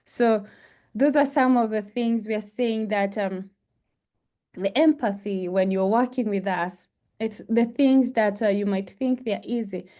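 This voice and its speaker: A woman's voice: medium pitch, warm tone. Kenyan woman, young adult, earnest panel speaker, hesitant delivery. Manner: earnest, halting with fillers. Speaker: panel speaker, international student. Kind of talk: presentation and discussion.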